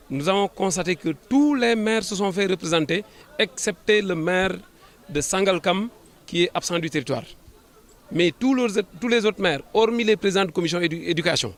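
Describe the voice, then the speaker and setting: A man with a Senegalese accent answering an interview as a government official, in formal administrative phrasing, often halting and repeating words. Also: high voice, animated. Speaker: government official, sub-prefect.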